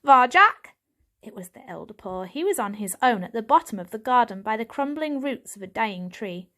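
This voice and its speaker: A woman narrates a children's audiobook. Her voice is on the high side, reading scripted prose as a storyteller. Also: lively intonation, brisk. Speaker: audiobook reader.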